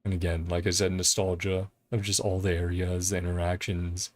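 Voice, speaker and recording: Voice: low-pitched, flat delivery, moderate pace. A game streamer giving casual, rambling live commentary in a chatty way, often chuckling. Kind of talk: casual livestream gameplay commentary. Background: game music.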